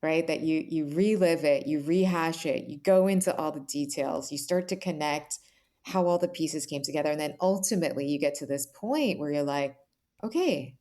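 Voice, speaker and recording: A woman's voice: low-pitched, moderate pace, dark tone. A woman speaking as an author guest in an interview, conversational, earnest and reflective, often checking in with "right?".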